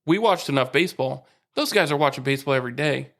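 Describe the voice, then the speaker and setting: A man co-hosting a podcast in casual sports chat, steady and explanatory, slowing down to stress a point. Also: mid-range voice, vocal fry. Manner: steady, explains and asks questions, slows for emphasis. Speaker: podcast co-host.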